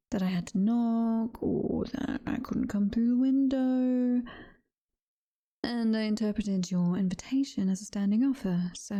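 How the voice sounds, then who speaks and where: A woman's voice: medium pitch, warm tone, slightly rough, dry recording. A British woman playing a doting, possessive demon girlfriend in an intimate ASMR roleplay, whispering and cooing in a motherly way. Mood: sweet, coaxing. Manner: whispered, slow, intimate cooing with pet names. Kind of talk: soft-spoken ASMR roleplay.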